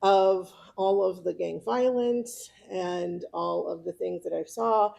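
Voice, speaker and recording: Creaky-voiced middle-aged American woman. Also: on the low side, slow, dark tone. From California, United States. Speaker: club member giving a personal talk. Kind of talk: informal autobiographical speech.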